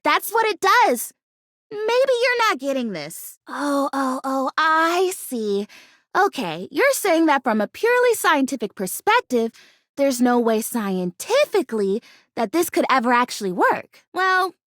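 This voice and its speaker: A woman's voice: sits high, big pitch swings, unhurried, a bit bright, dry recording, studio quality, full character voice. A woman voicing a bubbly, naive, stubbornly hopeful fake houseplant in a video game, chatty and dramatic in her delivery. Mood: confident, breezy, then defiant, pleading. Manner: perky, dramatic, emphatic, chatty. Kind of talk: animated video game character acting.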